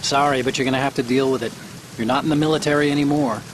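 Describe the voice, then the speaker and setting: A man's voice: medium pitch, fairly even intonation, rapid-fire, close to an everyday voice. A man voicing an earnest young tech engineer. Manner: chatty, earnest. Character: eager young male tech-support engineer. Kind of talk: video game cutscene dialogue. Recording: game audio with rain noise behind.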